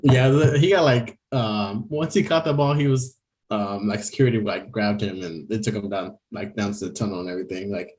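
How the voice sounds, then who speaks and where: A man's voice: lowish voice, brisk, a little mellow. Chatty laughing young man co-host. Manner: chatty, laughs often, many fillers. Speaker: podcast co-host. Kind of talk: casual chat.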